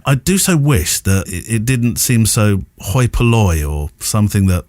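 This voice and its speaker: A man's voice: lowish voice, sing-song, measured pace, darkish tone, no room sound, clean studio sound. A man hosting a podcast in a casual, rambling, enthusiastic and self-deprecating way. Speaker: podcast host. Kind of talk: casual chatty podcast presenting.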